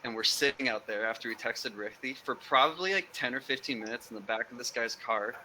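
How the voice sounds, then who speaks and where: A young man, a guest on a podcast, telling a story in a casual, animated way. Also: mid-range voice, brisk, slight background noise, rough recording. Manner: animated storyteller, acts out quoted people.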